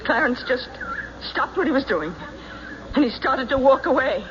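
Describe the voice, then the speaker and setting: An actress playing a distraught farm wife in a radio drama, speaking in a breathless, halting, pleading way. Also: female voice, high voice, expressive. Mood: shaken, bewildered. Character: distraught farm wife insisting her husband vanished. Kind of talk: old-time radio drama acting. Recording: muffled, noisy old radio broadcast.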